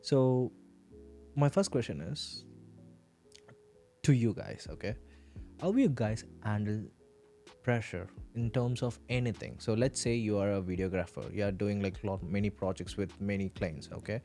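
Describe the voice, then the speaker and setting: A man hosting a podcast, asking questions in a halting, filler-heavy way. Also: lowish voice, dark tone, faint music behind. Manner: halting, many fillers and restarts. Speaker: podcast host. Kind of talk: casual interview questions.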